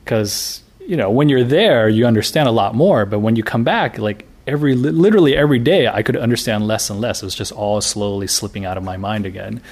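Man, thirties, from the US, deep-ish voice, gravelly, relaxed chatty interviewee. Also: brisk, few pauses. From United States. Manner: relaxed, chatty, many fillers and restarts. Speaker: interviewee, graphic novelist. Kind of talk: casual interview talk.

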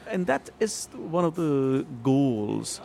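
A man's voice: fairly high pitch, big pitch swings, takes it slowly, gravelly, a little mellow. A middle-aged man from Pakistan, a writer and translator giving discursive interview answers with many fillers. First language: Urdu or Punjabi.

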